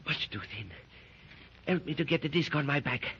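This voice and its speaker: A man's voice: fairly high pitch, expressive, moderate pace, gravelly, a bit bright. A man playing a determined adventurer in a 1930s radio drama, urgent and commanding. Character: Tom, determined adventurer hauling treasure. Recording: noisy lo-fi 1930s radio recording.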